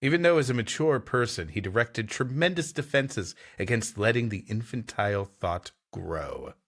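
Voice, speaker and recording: Male podcast host, mid-pitched and highly animated. Reads fiction aloud and banters, playful and theatrical. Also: moderate pace. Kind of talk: scripted story narration mixed with comic banter.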